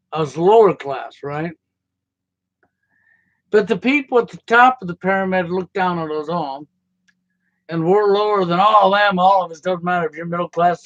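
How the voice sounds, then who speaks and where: A man's voice: sits high, no echo. A middle-aged American man telling a rambling personal story in a folksy, casual way with loose grammar. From United States. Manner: folksy, conversational, digressive, nonstandard grammar. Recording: slightly dull, dry lo-fi sound.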